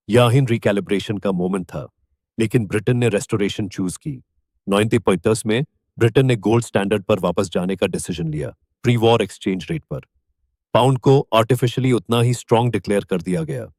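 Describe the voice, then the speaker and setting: A man with an Indian accent reading scripted documentary narration in measured, emphatic short phrases. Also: on the low side, darkish tone, no room sound, studio quality.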